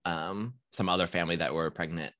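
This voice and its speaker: A man co-hosting a casual podcast over a video call, easygoing and quick to laugh. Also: deep voice, steady tone, pushed and bright, dry recording, studio quality. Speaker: podcast co-host. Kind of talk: casual chat. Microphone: video call with desk microphone.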